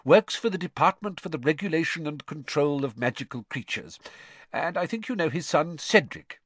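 Man as a mild, friendly, higher-pitched wizard dad. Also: animated, moderate pace, a bit bright, dry recording. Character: mild, friendly middle-aged wizard father.

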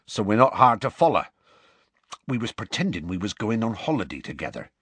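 A man voicing a huge, gruff half-giant groundskeeper who talks in a rustic dialect. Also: on the low side, big pitch swings, fairly quick, a bit bright, no room sound, heavily acted. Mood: storytelling.

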